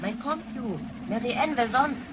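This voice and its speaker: An actress playing a brash, spoiled, jumpy young granddaughter from the future in a sci-fi radio play, slangy and excitable. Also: female voice, a little high, moderate pace, heavy background noise, natural voice. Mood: incredulous, scornful. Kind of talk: sci-fi radio drama acting.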